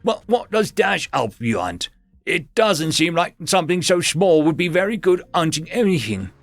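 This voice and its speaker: A man's voice: fairly high pitch, sing-song, normal speed, no room sound, faint music behind, voice pushed up. A man voicing a firm, blunt young orc girl with an orc accent in a storybook reading. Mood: puzzled. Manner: firm and bossy. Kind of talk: storybook narration.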